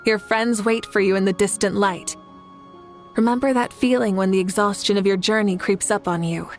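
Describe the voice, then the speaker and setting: An actress playing a kindly, composed young warrior leader in a video game, measured and reassuring. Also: female voice, mid-range voice, fairly even intonation, natural voice. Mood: solemn, tender. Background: game music.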